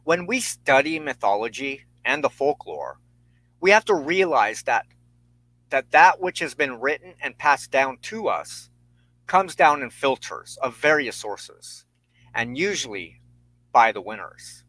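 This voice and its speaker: A man hosting a solo spirituality show, lecturing on folklore in an earnest, measured way, partly reading from notes. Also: mid-range voice, big pitch swings, a bit bright, rough recording. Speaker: host of a spirituality talk show.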